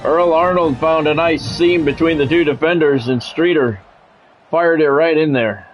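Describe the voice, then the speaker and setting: A man's voice: fairly high pitch, normal speed, lo-fi sound, soft background music. A man doing football play-by-play as a game streamer, in short clipped announcer-style calls mixed with casual chat to viewers.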